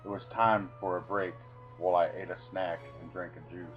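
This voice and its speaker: Low-voiced man, theatrical and deliberate. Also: steady tone. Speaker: video creator reading own short stories. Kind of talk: dramatic reading of a comic short story. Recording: dull lo-fi sound with music behind.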